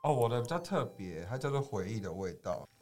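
A man's voice: mid-range voice, very animated, brisk, darkish tone. A man with a Taiwanese accent co-hosting a casual, chatty podcast. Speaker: podcast co-host. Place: recording studio.

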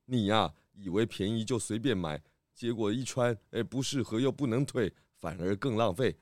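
A man's voice: fairly low pitch, moderate pace, dry recording, studio quality, close to an everyday voice. Man playing a blustering, vain detective chief in a radio drama. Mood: fussy, lecturing. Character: vain, evasive detective chief, trench-coat lover, bossy but good-humored. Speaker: lead character of children's detective audio drama. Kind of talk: children's radio drama acting.

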